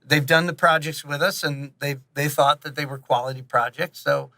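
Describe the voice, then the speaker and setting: A man talking casually as an interview guest, in an animated, rambling and jokey way, often interrupting himself. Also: a little high, normal speed, dry recording. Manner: casually profane. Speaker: interview guest, audio drama director and producer.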